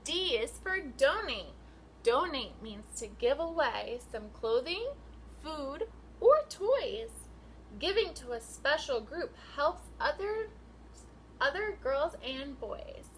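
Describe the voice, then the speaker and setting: A woman with a high, swooping voice reads aloud to young children at an unhurried pace. She speaks like a teacher, instructing and prompting her listeners. There is faint noise behind her voice. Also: big pitch swings, fairly bright tone, poor audio. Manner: instructional, asks questions and prompts children to repeat. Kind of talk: picture book read-aloud for young children.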